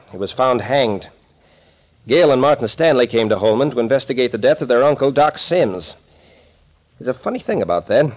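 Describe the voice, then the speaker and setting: A male actor plays a seasoned captain turned small-town constable in a 1940s radio thriller. He talks in a folksy, wry, plain way at a middling pitch, and stays unflappable. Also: lightly acted. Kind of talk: 1940s radio drama acting.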